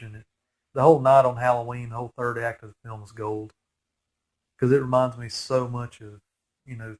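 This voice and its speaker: A man in his forties with a nasal head cold, giving a casual, rambling fan commentary over a movie with geeky enthusiasm. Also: mid-range voice.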